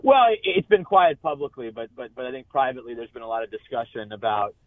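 A man's voice: medium pitch, big pitch swings, dry recording. Man on a muffled, band-limited call-in line. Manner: halting, repeats words, frequent "you know". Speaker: sports journalist, radio guest. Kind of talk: sports analysis in a live radio interview. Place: remote call into a radio show.

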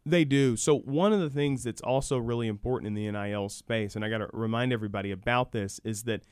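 A man's voice: medium pitch, fast, smooth, no echo. Man explaining as radio guest, dry sound. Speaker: guest, university program director. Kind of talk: radio sports talk interview, explanatory.

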